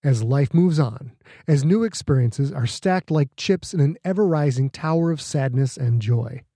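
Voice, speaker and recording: A man's voice: mid-range voice, lively intonation, moderate pace, mellow, slightly rough, no echo, clean studio sound. A man reading a scripted, reflective author's note aloud.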